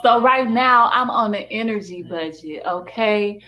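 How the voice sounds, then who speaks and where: A woman's voice: mid-range voice, measured pace, sharp tone. A woman chatting casually and bluntly on a live stream, swearing and repeating herself for emphasis.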